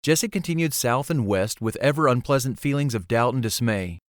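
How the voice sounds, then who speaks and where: A man's voice: medium pitch, animated, a little fast, no room sound. Dry-recorded male audiobook narrator. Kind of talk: scripted fantasy story narration. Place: close, dry recording space.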